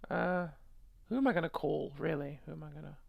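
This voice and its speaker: A woman's voice: deep voice, brisk, vocal fry, no echo, dull audio. A woman streaming a game, reading the story aloud with irreverent, sweary, joking commentary. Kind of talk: game playthrough, narration mixed with casual commentary.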